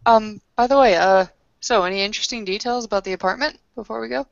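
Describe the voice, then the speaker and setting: A woman playing a tabletop roleplaying game, speaking casually and improvising in a halting way. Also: on the high side, creaky, dry recording, highs cut off.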